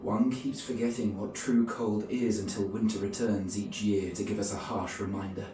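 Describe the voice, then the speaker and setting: An actor playing a grave, pious medieval crusader who narrates his campaign journal in a solemn, formal, measured way. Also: fairly low pitch, steady tone, roomy sound, far from the mic, close to an everyday voice, rough recording. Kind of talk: scripted dramatic narration. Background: music.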